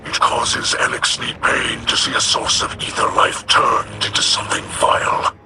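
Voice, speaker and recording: A grave alien warrior leader from a video game, acted by a man, speaking in formal, measured declarations. The voice is a breathy, rasping growl at a middling pitch. Loud music plays over it. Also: little pitch movement, close to an everyday voice. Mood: grave, indignant. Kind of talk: video game mission dialogue.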